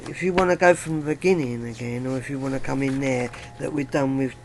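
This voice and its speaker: A woman host talking informally, in a halting, rambling way that often repeats words and circles back. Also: a bass voice, fairly even intonation, slight background noise. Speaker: interviewer and video host. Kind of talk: rambling informal commentary and casual chat.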